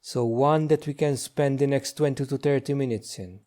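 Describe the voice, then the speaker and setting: A man leading a guided meditation as a teacher, speaking gently and slowly with long pauses. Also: mid-range voice, fairly even intonation. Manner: slow, hushed, gentle delivery with long pauses.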